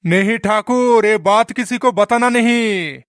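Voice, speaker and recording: A man's voice: high-pitched, unhurried, a bit bright, smooth, no echo, clean studio sound. A man voicing a swaggering, menacing but foolish bandit boss in a comic cartoon, drawling and taunting in an exaggerated way. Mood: pleading.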